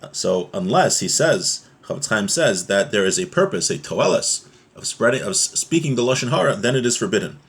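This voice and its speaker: A man's voice: on the low side, big pitch swings, a little fast, husky. A man teaching a religious text, reading passages aloud and explaining them in an informal, plain-spoken way.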